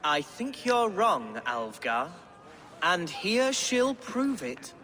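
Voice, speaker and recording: Pompous young noble, high voice, lively swoops, theatrical, game music behind. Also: male voice, takes it slowly, exaggerated voice. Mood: admiring. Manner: pompous, theatrical, florid speech. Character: pompous young male Saxon nobleman, dramatic. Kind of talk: video game cutscene acting. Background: orchestral game music.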